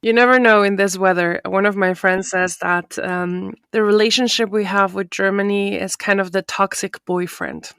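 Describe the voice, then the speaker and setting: A woman's voice: medium pitch, steady tone, moderate pace, few pauses, fairly bright tone, smooth, dry recording, clean studio sound. A woman hosting an interview podcast, friendly and chatty, with joking asides. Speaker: podcast host.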